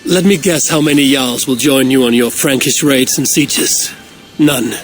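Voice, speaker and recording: A man's voice: mid-range voice, measured pace, soft background music, natural voice. A man playing a wry, wary Viking chief. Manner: dry, wary. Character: shrewd Viking clan leader, wry and guarded.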